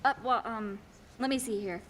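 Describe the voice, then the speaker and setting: A woman's voice: a little high, moderate pace, close to an everyday voice. A teenage woman acting a whimsical, literal-minded guardian angel in a radio play. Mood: gentle, chirpy. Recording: noisy echoey hall stage recording.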